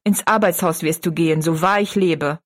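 A woman's voice: lowish voice, normal speed, close to an everyday voice. A woman voicing a harsh, contemptuous stepmother in an audiobook story, stern and cutting. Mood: mocking, scornful. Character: cold, scornful stepmother, harsh mistress of the house.

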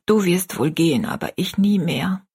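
A woman voicing a gravely ill, gentle and pious mother in a story, half-whispered, slow and earnest. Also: lowish voice, lively intonation, exaggerated voice. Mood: resigned.

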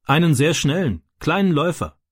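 A man voicing a cocky boy recruiting for his gang in a brisk tone. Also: fairly high pitch, animated, husky, no room sound, clean studio sound, voice pushed up.

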